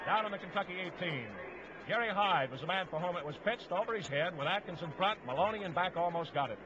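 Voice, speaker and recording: Man, high voice, 1950s football play-by-play, staccato phrases, muffled old radio sound, noisy. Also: expressive, quick pace. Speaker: play-by-play sports announcer. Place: stadium broadcast booth.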